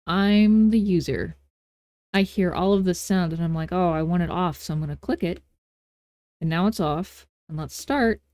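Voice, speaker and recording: A woman hosting a casual software tutorial, talking in an informal, playful and self-deprecating way. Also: on the low side, moderate pace, no room sound. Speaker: tutorial host.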